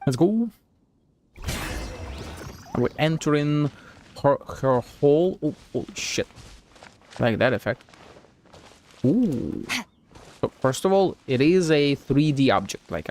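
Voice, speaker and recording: A man's voice: mid-range voice, animated, measured pace, long pauses, a little mellow. A man streaming a game with casual, slangy, laid-back live commentary.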